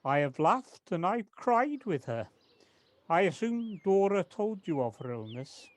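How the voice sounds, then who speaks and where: Woman voicing a wistful gardener, lively intonation. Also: lowish voice. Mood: wistful, earnest. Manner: earnest, halting, reflective. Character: devoted, wistful gardener, male, called Alan. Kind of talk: amateur audio drama acting. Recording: noisy home personal-device recording with clicks.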